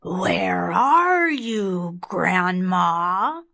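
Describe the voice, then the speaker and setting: A woman voicing a sly, hungry male big bad wolf in a storybook, with a slow, breathy, coaxing delivery. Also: lowish voice, lively intonation, bright, forceful tone, no echo, clean studio sound, heavily acted. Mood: sly, scheming.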